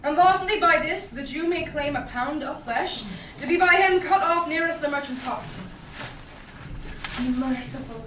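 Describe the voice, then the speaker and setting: Actress as young male lawyer, high voice, measured, declamatory Shakespeare, echoey distant recording. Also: fairly bright tone. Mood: judicial, firm. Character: composed young male doctor of law, judging firmly. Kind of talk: theatrical staged Shakespeare reading. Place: small theatre stage, indoors. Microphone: far audience camera. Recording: echoey stage, distant camera, faint noise.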